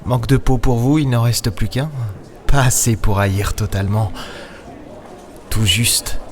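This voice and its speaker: A man playing a weary, sardonic space captain in an audio drama, speaking in a measured, wry storytelling way. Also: mid-range voice, close to an everyday voice. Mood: wry, bitter. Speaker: lead character and narrator. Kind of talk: audio drama acting and first-person narration. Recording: music behind the voice. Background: music.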